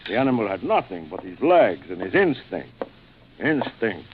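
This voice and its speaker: Through a muffled, noisy vintage radio recording comes a cruel, aristocratic older general. His urbane voice swings widely in pitch, lingering theatrically over words as in a 1940s thriller. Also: big pitch swings. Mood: urbane, world-weary. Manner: urbane, theatrical, savoring pauses. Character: suave, cruel aristocratic older general who hunts men.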